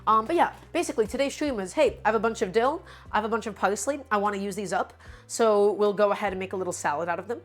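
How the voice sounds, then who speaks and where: A woman's voice: mid-range voice, fast, soft background music. A woman hosting a live cooking stream, slightly sick and a bit nasal, talking in an upbeat, chatty way. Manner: upbeat, chatty, greets chat by name.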